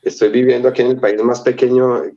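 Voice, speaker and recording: A man from Colombia, a guest in an interview, rambling through animated anecdotes and acting out quoted voices. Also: mid-range voice, fairly quick, highs cut off. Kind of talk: casual storytelling.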